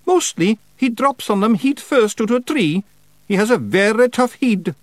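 High, tiny Scottish fairy clansman. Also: animated, moderate pace, dry recording. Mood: matter-of-fact. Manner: respectful, careful, earnest. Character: tiny clever male fairy clansman, polite and bold. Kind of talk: audiobook character voice.

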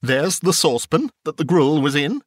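A man's voice: a little high, expressive, a little slow, a bit bright, no room sound, clean studio sound, voice pushed up. A man voicing a reformed old miser bursting with joy and tearful emotion, exclaiming and whooping in a dramatic storybook reading. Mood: elated. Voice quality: voice breaking with emotion.

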